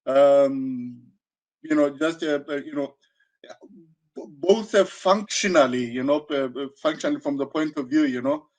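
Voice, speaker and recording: Male interview guest, 60, southern African accent, voice a little high, halting conversational delivery full of repetitions. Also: poor audio. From Zambia, born in South Africa. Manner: halting, searching delivery with frequent repetitions and 'you know'. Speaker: interview guest, karate teacher.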